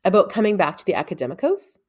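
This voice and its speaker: Bossy girl student voiced by a woman, cross, brisk, challenging, very animated delivery, storybook. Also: mid-range voice, husky.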